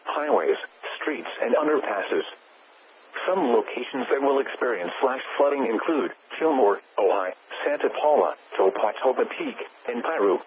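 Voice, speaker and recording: A synthetic American man's voice reading formal automated weather bulletins over weather radio, with flat delivery and clipped headings. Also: medium pitch. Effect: weather radio broadcast. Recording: narrow tinny radio band, loud static noise.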